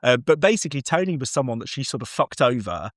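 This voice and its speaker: A man's voice: medium pitch, big pitch swings, fast, dry recording, studio quality. A man co-hosting a podcast, discussing audio drama in a casual, discursive and enthusiastic way.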